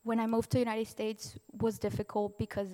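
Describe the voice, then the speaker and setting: A young woman from Mexico, a Spanish native speaker, giving an earnest, heartfelt personal testimony as a student speaker, halting now and then to restart. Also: a little high, monotone, dark tone. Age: young adult. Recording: distant voice in a reverberant hall.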